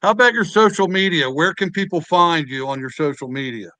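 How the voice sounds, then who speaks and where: Bright, smooth-voiced man in his fifties. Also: fairly high pitch, fairly quick, no room sound. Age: 50s. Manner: talkative storyteller, repeats words. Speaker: podcast host. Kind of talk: casual podcast chat.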